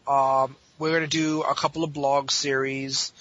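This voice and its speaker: A man's voice: medium pitch, little pitch movement, a little slow, fairly bright tone. A man speaking as a podcast guest in casual, informal, slangy chat. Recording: dull audio with faint noise.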